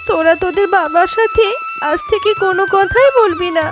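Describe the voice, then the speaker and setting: A woman plays a worried, tender mother fox in a cartoon. Her voice is very high and earnest, with music playing clearly behind it. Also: normal speed. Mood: consoling. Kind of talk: children's cartoon dialogue. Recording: background music under voice.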